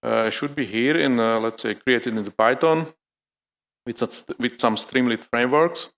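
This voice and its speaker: A man's voice: mid-range voice, a little slow, vocal fry, fairly bright tone. A man giving a conference tech demo, thinking aloud with many fillers and restarts. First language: Slovak. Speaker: conference speaker. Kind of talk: live technical demo talk. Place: conference hall.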